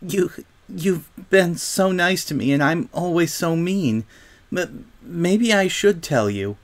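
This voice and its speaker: A man voicing a young, prim pony girl who is defensive and vulnerable, speaking haltingly in a storybook. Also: fairly high pitch, sing-song, fairly bright tone, pitched above the performer's own voice. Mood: tearful, hurting. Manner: hesitant, halting. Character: haughty young filly turned sad, defensive, vulnerable.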